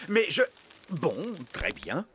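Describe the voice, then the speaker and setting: A man's voice: on the high side, wildly swooping pitch, slow pace, a little hiss or hum, exaggerated voice. A man voicing a pompous, grumbling but good-hearted middle-aged fairy king in a cartoon dub, regal and blustery. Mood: flustered. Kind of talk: animated cartoon dubbing. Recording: loud music behind the voice.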